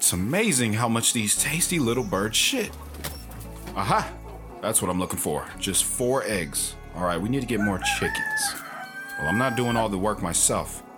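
A man playing a gruff, sardonic farm brother with dry deadpan delivery in an audio drama. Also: fairly low pitch, normal speed, music in the background.

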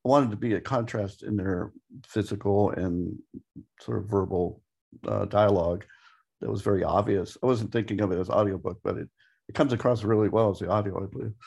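A man with a husky, gravelly voice talks casually as a podcast co-host. He is hesitant, piecing thoughts together aloud in fragments. His pitch sits a little low. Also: a little mellow. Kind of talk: casual interview chat.

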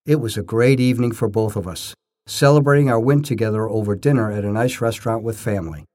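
An older man narrating his own scripted first-person stories in short, measured phrases with a storyteller's emphasis. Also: on the low side, darkish tone.

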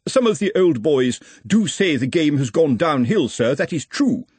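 Guy doing a fawning wordy servant for an audiobook, self-important, bumbling, husky. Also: fairly high pitch, very animated, normal speed, a little mellow, no echo, pitched above the performer's own voice, exaggerated voice. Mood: obsequious. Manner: wordy, obsequious, hedging. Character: deferential, self-important, bumbling male servant explaining a game.

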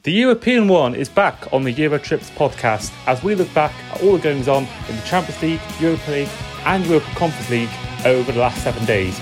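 Man reading a promo over loud music. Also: fairly high pitch, animated, fast talker. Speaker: podcast promo announcer. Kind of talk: scripted promotional read. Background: guitar music.

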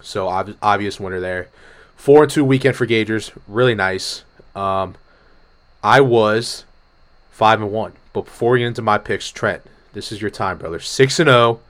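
A man with a somewhat low, hoarse voice runs a casual sports podcast. He is fighting off a cold, yet he talks with energy, hops from point to point and swears freely. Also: normal speed. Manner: energetic, profane, jumps between topics. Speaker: podcast host. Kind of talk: casual sports betting chat.

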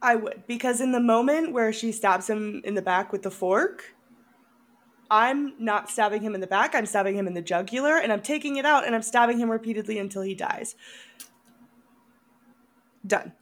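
A woman's voice: fairly high pitch, normal speed, pauses often. A woman co-hosting a casual film review chat, often breaking into giggles as she talks. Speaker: podcast co-host.

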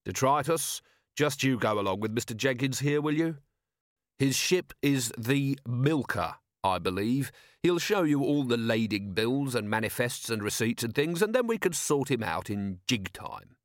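A man voicing a self-assured, sardonic city watch commander in an audiobook, calm, wry and needling. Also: mid-range voice, normal speed, no room sound, clean studio sound, natural voice. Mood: calmly sardonic.

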